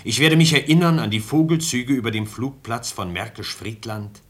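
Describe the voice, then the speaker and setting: A man's voice: medium pitch, moderate pace, lightly acted. An actor playing a wistful man in a reflective, lyrical radio play monologue of memories.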